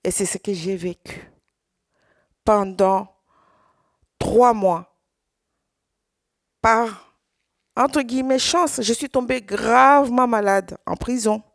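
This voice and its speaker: Halting woman with a gravelly voice. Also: on the high side, no room sound, studio quality. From Congo. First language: Swahili. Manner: halting, with many repeated words and restarts. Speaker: survivor giving testimony. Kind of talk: personal testimony at a conference.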